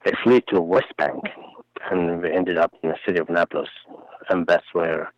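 A man in his forties from Palestine, a non-native English speaker, talking earnestly and haltingly in a phone interview. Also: sits low, fairly even intonation, no room sound. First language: Arabic. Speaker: interview guest. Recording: muffled phone recording.

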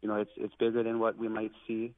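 A man from Hawaii, a guest on a radio interview, explaining with earnest, halting speech full of fillers and restarts. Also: lowish voice, completely flat delivery, no echo. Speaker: guest, Hawaiian language teacher. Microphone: phone call. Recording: tinny phone line, dry.

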